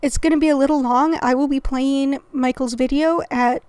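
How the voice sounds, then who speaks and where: A woman's voice: sits high, fairly even intonation, normal speed, a little mellow, dry recording. Rambling, passionate woman, dry close sound. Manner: rambling, self-interrupting, passionate. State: throat-clearing, recovering from illness. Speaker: reaction video host. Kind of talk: casual commentary.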